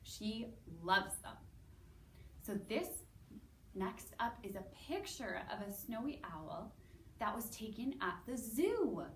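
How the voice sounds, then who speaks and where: A woman with a Canadian accent presenting to young children as a story time host, in an animated way with simple, drawn-out wording. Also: a little high. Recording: roomy, distant mic with background noise.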